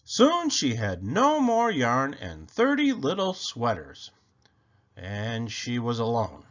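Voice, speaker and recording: Slow, very animated male storybook reader. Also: medium pitch, lo-fi sound. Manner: theatrical, deliberate phrasing. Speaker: picture book reader. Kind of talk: expressive children's story read-aloud.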